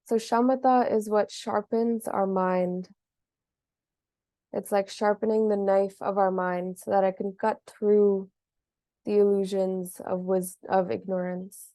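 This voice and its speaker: Soft-edged woman guiding meditation, flat and mellow. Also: medium pitch, dry recording, clean studio sound. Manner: gentle, measured, with long pauses. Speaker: meditation teacher. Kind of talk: slow guided meditation.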